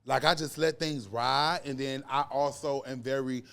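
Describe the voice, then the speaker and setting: A man's voice: mid-range voice, moderate pace, gravelly, smooth. A man in his twenties, a podcast guest talking casually in an earnest, emphatic way. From United States. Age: 20s. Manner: earnest, emphatic, animated storytelling. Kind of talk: casual conversation.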